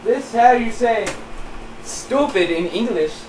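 A man's voice: extremely high pitch, expressive, a little mellow, slight background noise, exaggerated voice. A teen boy doing a smug broken-English martial-arts braggart. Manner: halting, mock-foreign, keeps asking "yes?". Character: boastful young man in broken English, martial-arts fan. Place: small cluttered bedroom. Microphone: camera across the room. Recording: roomy, distant home-camera audio.

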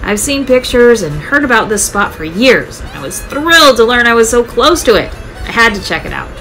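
A woman with a fairly high voice narrates a travel vlog in an upbeat, chatty way. Her voice-over sounds scripted and enthusiastic. Light music plays faintly behind her. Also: very animated, moderate pace, fairly bright tone, low-quality recording. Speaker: travel vlogger.

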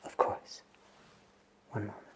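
A man playing a friendly, absent-minded wizard who runs a potion shop, speaking in a soft breathy whisper for an ASMR roleplay, gently and reassuringly. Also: a little hiss or hum, lightly acted. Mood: flustered, searching. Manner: slow, gentle, hesitant, reassuring.

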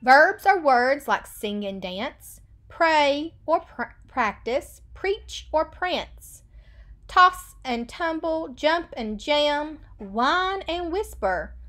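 A woman in her thirties with a high voice, teaching young children through a read-aloud. She takes her time, speaking slowly and stressing key words in a sing-song way. She is recorded on a webcam in a small room. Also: animated, a bit bright. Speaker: elementary school teacher. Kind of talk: read-aloud picture book lesson for children.

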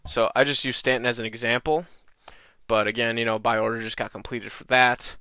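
A young man with a slight cold-raspy voice, casually walking through a video game tutorial with lots of ums. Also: mid-range voice, fairly quick, no room sound. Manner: relaxed, many ums and uhs, talks through menus. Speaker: gaming channel host.

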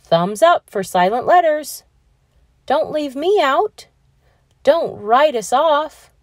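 Storybook talking letter, plucky and aggrieved, emphatic, animated pitch, unhurried played by a woman. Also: no echo, clean studio sound. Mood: defiant. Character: band of plucky, aggrieved living silent letters.

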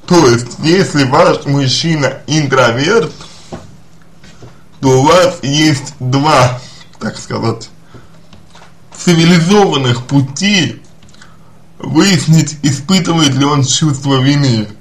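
A man giving unscripted personal advice as a psychologist, speaking deliberately and haltingly, often repeating and rephrasing his phrases. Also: fairly high pitch. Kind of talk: spoken advice to a listener, unscripted.

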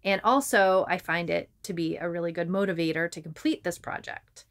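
A woman's voice: on the low side, brisk. Woman co-hosting a conversational podcast. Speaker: podcast co-host and writer.

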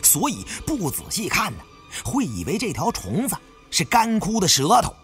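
A man's voice: high-pitched, huge pitch swings, normal speed, fairly bright tone, slightly rough, soft background music. A man narrating a suspenseful story in a theatrical, dramatic audiobook style.

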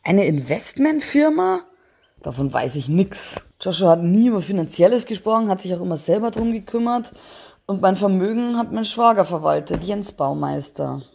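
Woman acting a husky, weary grieving mother. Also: medium pitch, expressive, normal speed, mellow. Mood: puzzled. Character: grieving, weary mother of a dead young man. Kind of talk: audio crime drama acting.

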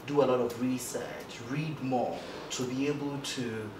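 A light-voiced man talks animatedly in an interview, tripping over a few starts. The sound is roomy, with the mic set back from him. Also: measured pace. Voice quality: light, high-ish voice for a man. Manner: animated, gestures a lot, stammers on starts. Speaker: broadcast journalist guest. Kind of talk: casual interview talk. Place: indoor studio set, seated in armchair. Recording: roomy echo, distant mic, background noise.

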